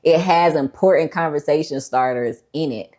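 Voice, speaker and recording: A woman's voice: sits low, moderate pace, mellow. A woman talking casually as a book review vlogger, conversational and emphatic, repeating herself to make a point.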